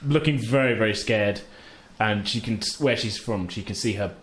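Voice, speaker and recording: A man's voice: fairly low pitch, normal speed. A man narrating a tabletop game as game master in a casual, improvised, hesitant conversational way. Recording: roomy echo, faint background noise.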